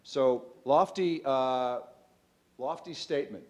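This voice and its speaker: A man in his thirties, a startup CEO, giving a loose, conversational pitch on a stage in a large auditorium, speaking into a stand mic with frequent ums and restarts. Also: takes it slowly, darkish tone. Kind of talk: conference pitch talk.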